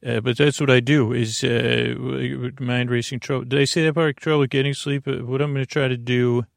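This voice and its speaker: Creaky-voiced, meandering man. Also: medium pitch, level tone, no echo, clean studio sound. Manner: meandering, halting, stammering, trails off and restarts sentences. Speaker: host of a bedtime-story podcast to help listeners sleep. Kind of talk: rambling, improvised sleep-podcast monologue.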